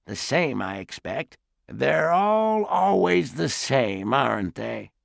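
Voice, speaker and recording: A man voicing a frail, wistful elderly great-aunt who talks softly and slowly in an audiobook. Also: medium pitch, big pitch swings, vocal fry, no room sound, clean studio sound, voice pushed up, full character voice. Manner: soft, slow and reminiscing. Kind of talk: audiobook character voice.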